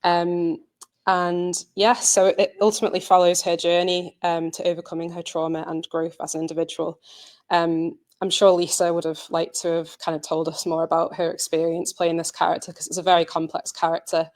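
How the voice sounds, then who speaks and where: A woman presents informally in a slightly low voice. Her intonation stays very level, and she speaks in an earnest, hesitant way, like a producer talking through her project. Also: little pitch movement, normal speed, darkish tone. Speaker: audio drama producer presenting.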